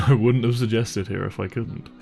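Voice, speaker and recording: A man playing a wealthy, caring young boyfriend in an intimate audio roleplay, speaking in a gentle, hesitant and reassuring way. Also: mid-range voice, steady tone, normal speed, gravelly, faint music behind, close to an everyday voice. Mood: reassuring, affectionate.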